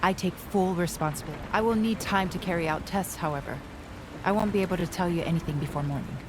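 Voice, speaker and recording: A woman's voice: fairly low pitch, quick pace, background noise, lightly acted. An actress playing a composed, scholarly woman researcher in a video game, speaking in a formal, analytical way. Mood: composed, solemn. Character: composed, scholarly female sensei who studies monsters. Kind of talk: video game cutscene voice acting.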